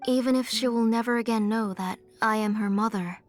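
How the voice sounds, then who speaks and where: A woman playing a gentle, sorrowful, devoted mother in a dramatic video game scene. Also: a little high, fairly quick, faint music behind, lightly acted. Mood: grieving, resigned.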